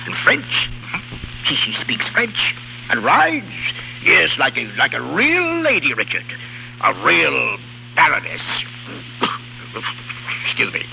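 A tipsy doting old baron in an old radio play, stammery, swoops all over, gravelly, dull hissy recording. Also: male voice, fairly high pitch, wildly swooping pitch, takes it slowly, long pauses, exaggerated voice. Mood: tipsy, jovial. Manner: stammering, repetitive, chuckling. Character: doting, tipsy older baron, rambling and gleeful. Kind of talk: 1930s radio drama acting.

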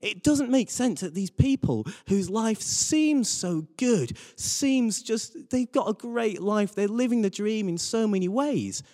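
Guy giving a sermon, really lively up and down voice, earnest, repeats himself for emphasis, hall reverb. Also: fairly high pitch. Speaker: preacher. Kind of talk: sermon with personal anecdotes.

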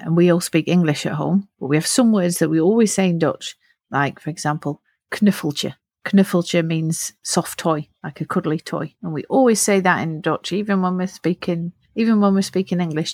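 A woman's voice: fairly low pitch, normal speed, a little mellow, no echo, clean studio sound. A middle-aged woman hosting a podcast, patiently asking a child simple, encouraging follow-up questions. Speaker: podcast host interviewing a child. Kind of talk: friendly interview questions.

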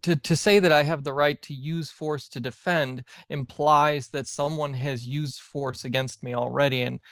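A man with a slightly high voice asks questions in a discussion, recorded with a dry sound free of echo. He is halting and careful, feeling his way through each phrase. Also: steady tone, a bit bright. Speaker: book club participant asking questions. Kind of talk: conversational question to a guest.

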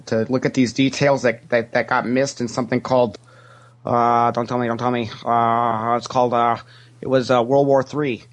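A man's voice: medium pitch, natural voice. A man playing a cheerfully shameless, greedy Ferengi alien money investigator, talking in a hesitant, rambling, matter-of-fact way in an improvised interview. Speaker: interview guest. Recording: internet call connection.

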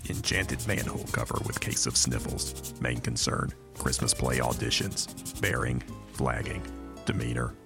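Stern old eyeball inspector, super low croaky voice, stiff official talk but swoopy, loud music under it. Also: male voice, expressive, unhurried, exaggerated voice. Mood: stern, formal. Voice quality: very deep, gravelly. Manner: formal, clipped, bureaucratic. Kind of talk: audio comedy drama acting.

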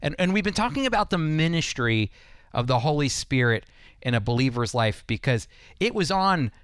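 A man's voice: a little high, very animated, moderate pace, a bit bright, clean tone, dry recording, studio quality. A man hosting a devotional radio program, teaching scripture earnestly with emphatic phrasing and rhetorical questions. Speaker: radio Bible teacher and host.

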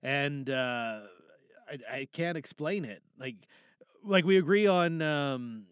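A man's voice: fairly high pitch, slow, smooth, dry recording, clean studio sound. A man chatting casually as a podcast host, rambling informally and often trailing off or rephrasing mid-sentence. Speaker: podcast host giving feedback to fellow podcasters. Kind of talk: casual, rambling solo chat with opinions on films.

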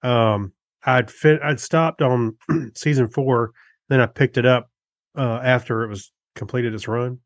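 A man co-hosting a podcast, giving casual opinions on shows in a halting, loosely rambling way. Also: mid-range voice, fairly even intonation, dry recording, studio quality. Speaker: podcast co-host. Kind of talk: casual chat reviewing TV shows.

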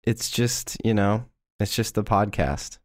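Man, podcast co-host, low voice, close dry sound, casual joking chat, mock-earnest delivery. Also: a little slow, darkish tone, dry recording.

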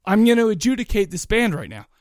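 A man's voice: high voice, big pitch swings, quick pace, dry recording, clean studio sound. A man chatting casually as a podcast co-host, talking in an enthusiastic, rambling, colloquial way about music. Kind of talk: casual chat, music review.

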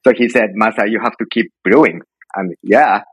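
A middle-aged man from Japan with a Japanese accent, telling stories casually as an interview guest in halting, informal phrasing. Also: on the low side, slightly rough, dry recording, rough recording. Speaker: podcast guest, home brewer.

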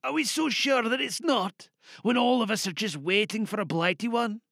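A man playing a weary, bitter soldier in a trench, confiding in a hushed voice in an audio drama monologue. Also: high-pitched, moderate pace, creaky, no room sound, clean studio sound, voice pushed up, heavily acted. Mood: despairing.

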